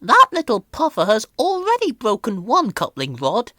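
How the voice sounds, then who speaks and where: A woman's voice: on the high side, huge pitch swings, moderate pace, pushed and bright, dry recording, studio quality, voice pushed up, heavily acted. A woman voicing a rude, proud old female steam lorry in a children's storybook, brusque and scolding. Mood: haughty, scolding.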